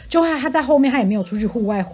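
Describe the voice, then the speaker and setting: Fast-talking, higher-pitched Taiwanese woman. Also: slightly rough. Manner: animated storytelling with acted-out quotes. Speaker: podcast co-host. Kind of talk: casual comic chat, venting about coworkers. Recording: faint music behind. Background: faint background music.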